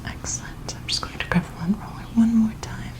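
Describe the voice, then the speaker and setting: A woman performing an ASMR personal-attention role-play, speaking in a gentle, soothing, attentive manner. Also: on the high side, moderate pace.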